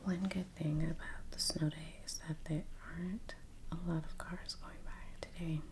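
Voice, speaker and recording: A woman speaking very softly and breathily, often near a whisper, in halting, casual ASMR musing while she works. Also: deep voice, little pitch movement. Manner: hushed, halting, unhurried. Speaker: ASMR artist hosting a painting session.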